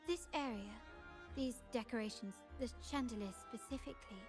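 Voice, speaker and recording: A poised young noblewoman voiced by a woman speaks with composure and throws out theatrical lines in combat. Her voice sits on the high side, with game music clearly audible beneath it. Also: normal speed, close to an everyday voice. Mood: thoughtful. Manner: composed, theatrical battle cries. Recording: game audio with music behind.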